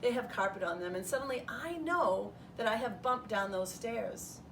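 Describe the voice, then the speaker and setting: A woman reading a children's storybook aloud in the first person as a schoolteacher would, with an expressive delivery. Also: a little high, normal speed. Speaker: third grade teacher reading aloud. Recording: distant mic, roomy background noise.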